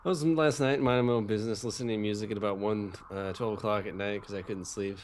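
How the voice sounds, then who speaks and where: A man casually telling crude, vulgar stories in a halting, repetitive, filler-heavy way. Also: lowish voice, steady tone, a little hiss or hum. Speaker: co-host of a visual novel rant show. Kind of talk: casual rambling crude storytelling.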